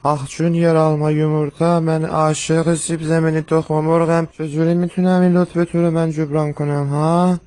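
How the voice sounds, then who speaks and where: A man's voice: a little high, level tone, few pauses, darkish tone, lightly acted. A man voicing a chatty, pushy milk seller with eager sales patter. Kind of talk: comedic dubbing.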